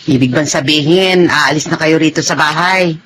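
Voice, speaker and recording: A woman's voice: low voice, moderate pace, fairly bright tone, a little hiss or hum. A woman playing a homely middle-aged mother-in-law running the household in a radio drama. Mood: domestic, wistful.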